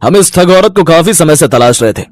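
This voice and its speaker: A man's voice: a little high, animated, a little fast, no echo, soft background music, natural voice. A man playing a firm policeman in an audio story, speaking formally and emphatically. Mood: grateful, firm.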